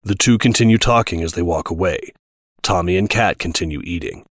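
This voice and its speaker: A man's voice: deep voice, fairly quick, no echo, studio quality. A man reading scripted narration for an audio drama.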